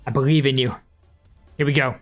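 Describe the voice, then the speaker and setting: A man's voice: medium pitch, flat delivery, fairly quick, soft background music. A man doing casual live gameplay commentary, joking and bantering with the game and his chat. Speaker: game streamer.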